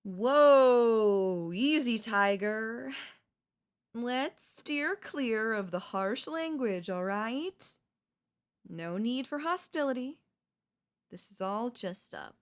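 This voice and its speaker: A woman's voice: fairly high pitch, exaggerated voice. A woman voicing a chatty female zombie who cheerfully and conversationally coaxes in a comedic audio roleplay. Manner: conversational, coaxing, wheedling. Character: chatty, cheerfully persuasive female zombie craving human flesh.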